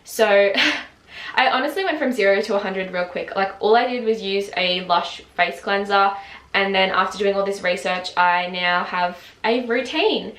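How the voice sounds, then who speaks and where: A woman's voice: mid-range voice, normal speed, a bit bright. A woman of twenty chatting casually to camera about her routine at home, using lots of filler words. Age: 20s. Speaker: beauty vlogger. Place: home, just woken up. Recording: roomy echo.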